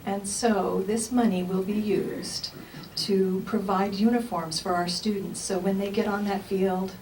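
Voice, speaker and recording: A woman gives a short, earnest acceptance speech at a steady pace. She sounds distant from the mic, with light noise in the room behind her. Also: mid-range voice, a little slow. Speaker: school representative accepting a grant.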